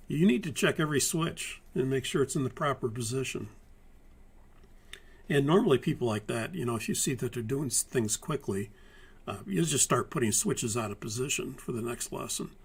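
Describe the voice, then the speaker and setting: A man teaching a live-streamed airline pilot course in a relaxed, methodical way, hoarse from a lingering virus. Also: medium pitch. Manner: methodical, conversational, many fillers and restarts. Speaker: flight instructor. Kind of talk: live-streamed training talk.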